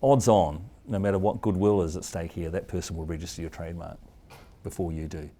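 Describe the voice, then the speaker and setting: Deep, mellow New Zealand man. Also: steady tone, fairly quick. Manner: conversational, colloquial, acts out quoted exchanges. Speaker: trademark law expert. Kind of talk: informal advisory talk with anecdotes.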